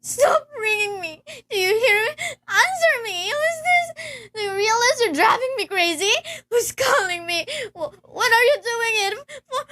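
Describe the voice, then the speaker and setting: Young woman acting a frantic, very high-pitched nervous wife. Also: animated, normal speed, bright, forceful tone, slight background noise, full character voice. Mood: hysterical, angry. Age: teenager. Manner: agitated, imperious, stammering, tearful. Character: frantic, demanding, nervous married woman home alone. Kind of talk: stage-like radio play acting.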